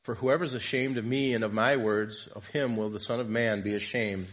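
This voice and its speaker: A man's voice: lowish voice, fairly even intonation, a little fast, fairly bright tone. A man with a slight cold giving an informal, conversational sermon in church, joking with the congregation. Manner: conversational, jokes with congregation, self-deprecating. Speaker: lay preacher.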